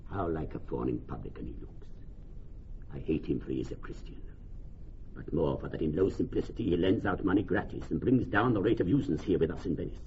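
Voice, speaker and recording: A man playing a shrewd, resentful Venetian moneylender in classical Shakespearean radio drama, deliberate and theatrical, musing over repeated phrases. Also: sits low. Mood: bitter, hateful. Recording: dull, lightly noisy old radio recording.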